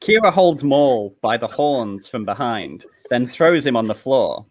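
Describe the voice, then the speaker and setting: A man's voice: mid-range voice, very animated, measured pace, darkish tone. A man reading stage directions as narrator in a live comedic table read, with an amused manner.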